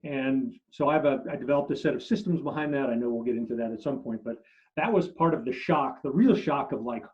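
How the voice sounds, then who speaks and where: Man, middle-aged, German first language, animated storyteller, repeats words, muffled lo-fi sound. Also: quick pace. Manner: animated storyteller, many restarts, drops low at phrase ends. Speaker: marketing expert guest. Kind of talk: interview answers, storytelling. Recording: muffled lo-fi audio.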